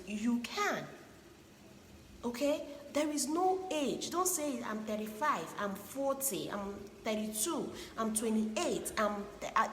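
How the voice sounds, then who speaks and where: A woman with a fairly high voice talks in an emphatic, preachy way and circles back to drive each point home. Her voice rings through a roomy space with a long reverb tail, and a faint hiss sits behind it. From Igbo land, Nigeria. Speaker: relationship advice speaker. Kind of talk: earnest advice monologue.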